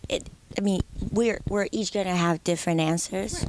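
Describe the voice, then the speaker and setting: A woman's voice: mid-range voice, normal speed, noisy background. Candid young woman from the Dominican Republic. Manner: candid, reflective, with frequent restarts. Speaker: guest musician. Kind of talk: interview conversation.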